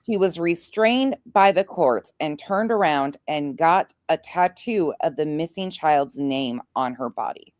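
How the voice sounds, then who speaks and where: A woman's voice: low-pitched, expressive, unhurried, hardly any pauses, a little mellow, no echo, studio quality. A woman giving casual reaction commentary in a blunt, sarcastic, crude-talking way. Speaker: online show commentator.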